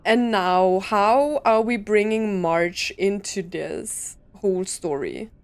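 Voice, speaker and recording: A woman's voice: medium pitch, takes it slowly, smooth. A woman gaming streamer giving casual reaction commentary, thinking aloud as she goes. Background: game music.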